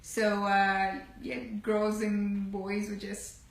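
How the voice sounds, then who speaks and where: A woman's voice: medium pitch, slow. A woman in her twenties from Houston, answering interview questions casually and agreeably. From Houston, Texas, US. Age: 20s. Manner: relaxed, agreeable, many "yeah"s. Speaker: guest. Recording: echoey room.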